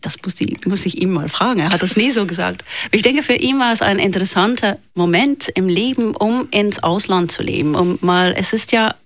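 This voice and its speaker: Flemish museum director in her forties, non-native speaker, thoughtful with many pauses, dry recording. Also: mid-range voice, dry recording. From Belgium. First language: West Flemish. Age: 40s. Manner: thoughtful, frequent hesitations. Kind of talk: interview answers.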